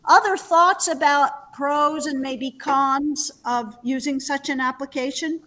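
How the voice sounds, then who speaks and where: A woman's voice: high-pitched, steady tone, takes it slowly, fairly bright tone. A woman hosting a webinar in a conversational presenting style. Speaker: webinar host.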